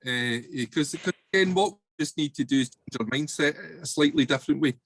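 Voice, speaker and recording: A man's voice: a little high, fairly quick, creaky, a bit bright, no room sound. A man speaking in a casual video-call discussion about volunteering, chatty and full of fillers.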